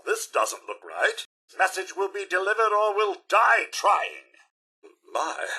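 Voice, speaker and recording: An actor playing a fussy, servile robot butler in a video game, with a British accent, a raspy voice and pompous, overly formal manners. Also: male voice, fairly high pitch, pushed and bright, heavily acted. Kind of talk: theatrical video game character lines.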